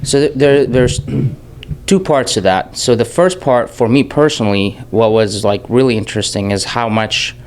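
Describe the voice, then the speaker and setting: A man's voice: mid-range voice, normal speed, slight background noise. A man in his thirties from Jordan, a filmmaker speaking candidly in an interview, often restarting his sentences. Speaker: filmmaker guest. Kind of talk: casual interview talk.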